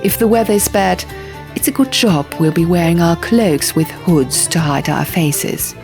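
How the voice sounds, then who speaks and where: A pragmatic young woman in an audiobook played by a female narrator, precise and unflappable. She argues in a matter-of-fact, slightly pedantic manner, with a creak in her voice and music behind. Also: on the low side, normal speed, lightly acted. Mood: dry, reasoned. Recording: music under the reading.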